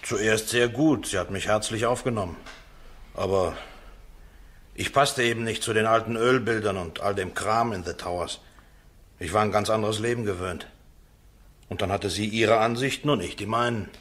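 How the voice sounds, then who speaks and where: A man playing a low-voiced accused man on trial. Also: a bit bright, close to an everyday voice. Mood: defensive, earnest. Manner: halting, colloquial. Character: defensive accused man on trial, down-to-earth ex-salesman. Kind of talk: radio play acting.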